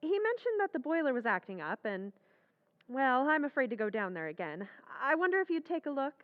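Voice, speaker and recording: An actress playing a chatty young wife in an old-time radio drama recreation, breezy and a little anxious. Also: female voice, sits high, very animated, brisk. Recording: thin phone-like sound.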